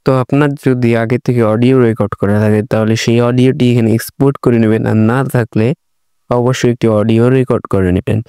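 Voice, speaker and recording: A man's voice: fairly low pitch, mellow, clean tone, no echo. A man narrating a casual how-to tutorial as its host.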